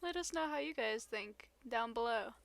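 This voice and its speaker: A woman's voice: fairly high pitch, animated, normal speed, vocal fry. A woman co-hosting a podcast in casual banter, with a playful, mock-dramatic way of talking. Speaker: podcast co-host.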